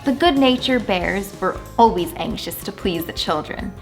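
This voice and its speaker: Woman doing a kids read aloud, animated storyteller, draws words out, mid pitch, soft music behind. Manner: animated, deliberate storytelling with drawn-out emphasis. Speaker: children's story reader and channel host.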